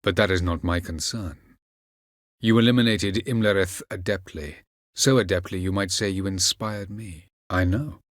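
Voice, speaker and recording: A male actor playing an aloof, ancient elven mage in a video game, speaking in a measured, condescending way with formal, archaic phrasing. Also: sits low, dry recording, studio quality, natural voice. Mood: cool, condescending. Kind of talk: video game character acting.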